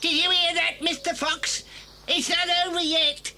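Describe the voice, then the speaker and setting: An actor playing a mean, obstinate farmer villain in an audio story, snappish and menacing. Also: male voice, very high-pitched, flat delivery, a little slow, sharp tone, a little hiss or hum, exaggerated voice. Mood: angry, determined. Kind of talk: 1980s audio story dramatisation.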